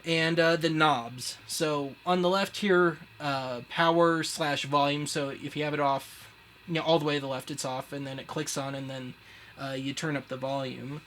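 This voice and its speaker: A man's voice: on the high side, fairly even intonation, normal speed, a bit bright. An American man casually explaining as a show host, with a wry, self-deprecating, slangy way of talking. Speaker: host of a retro media web show. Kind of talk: casual explainer, chatting through a gadget demo. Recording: clear background noise.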